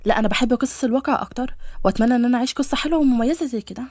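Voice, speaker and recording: A woman's voice: a little high, very fast, darkish tone, no echo, clean studio sound, close to an everyday voice. A woman voicing a proud, devout and prickly young female student in story dialogue, with an Egyptian accent. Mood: earnest.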